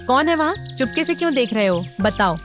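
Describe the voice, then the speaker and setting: A woman's voice: sits high, big pitch swings, fairly quick. A woman voicing a curious, anxious young princess in a cartoon story, earnest and questioning. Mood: demanding. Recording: loud music over voice.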